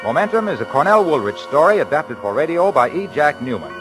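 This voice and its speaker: A man's voice: a little high, big pitch swings, a little fast, over music. An American man announcing in scripted 1940s radio style, with a polished, emphatic sponsor's delivery. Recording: vintage 1940s radio broadcast.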